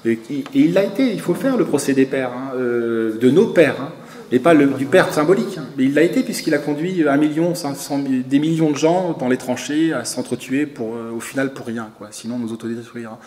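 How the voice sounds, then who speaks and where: A man's voice: mid-range voice, a little fast, darkish tone. A French man speaking at a conference, lecturing loosely in answer to a question, with many "hein"s and repeated words. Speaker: conference speaker, magazine editor. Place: conference hall. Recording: echoey hall.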